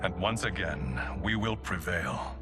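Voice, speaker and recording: A man playing a grave, resurrected king and father, speaking in a solemn, measured way in a game cutscene. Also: low voice, lively intonation, over loud music. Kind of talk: video game cutscene acting.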